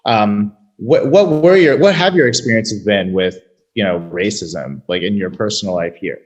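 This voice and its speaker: A man's voice: lowish voice, animated, normal speed, muffled recording. A man hosting a casual conversation, asking guests questions with many fillers and hesitations. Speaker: host. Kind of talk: interviewing guests.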